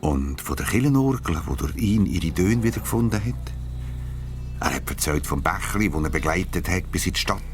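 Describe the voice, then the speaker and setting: A man's voice: low-pitched, animated, normal speed. A man with a Swiss German accent narrating a scripted children's story as its storyteller. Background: music.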